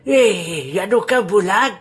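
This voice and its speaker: A woman's voice: medium pitch, lively intonation, slow, noisy background. A woman voicing a grumbling, teasing old grandfather in an amateur audio drama. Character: grumpy old man, Lolo Duming, coffee-loving.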